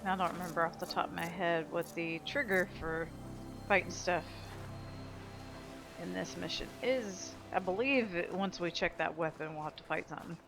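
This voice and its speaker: A woman's voice: on the low side, moderate pace, over music. A woman giving casual video game commentary, thinking aloud as she plays.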